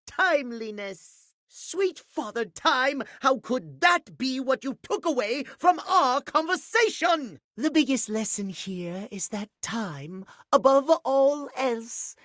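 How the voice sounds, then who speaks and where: A man playing a prim, fussy gentleman timekeeper in a video game, posh and precise. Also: very high-pitched, no room sound, clean studio sound, exaggerated voice. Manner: posh, precise, theatrical lecturing. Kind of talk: video game character acting.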